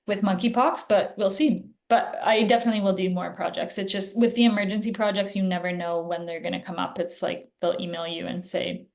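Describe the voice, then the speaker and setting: A woman's voice: mid-range voice, steady tone, brisk, creaky, few pauses, darkish tone. Chatty woman doctor on a video call. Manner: chatty, filler-heavy, frequent "like" and "um". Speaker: guest physician. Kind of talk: casual interview answers.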